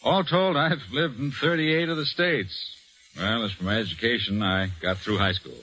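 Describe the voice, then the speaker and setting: A man acts out a young Army corporal in a vintage radio drama. He is plain-spoken, folksy and determined, with a very bright, forceful voice. The old broadcast recording carries faint noise. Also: medium pitch, normal speed, close to an everyday voice, rough recording. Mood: plain, reflective. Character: earnest, determined young Army corporal telling his story.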